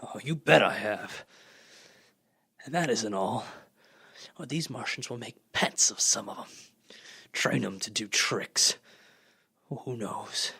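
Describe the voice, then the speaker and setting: Young man playing fanatical, scheming soldier, slightly husky. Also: medium pitch, takes it slowly, long pauses, no room sound, clean studio sound, full character voice. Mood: grim, menacing. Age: young adult. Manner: colloquial, excitable, bitterly scornful, dropping into hushed intensity. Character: fanatical, scheming artilleryman surviving an alien invasion. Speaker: student actor. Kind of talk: radio play acting.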